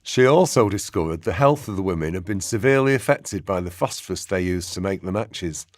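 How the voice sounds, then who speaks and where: A man's voice: deep voice, lively intonation, brisk, dry recording. A man narrating a historical radio play in a scripted documentary style.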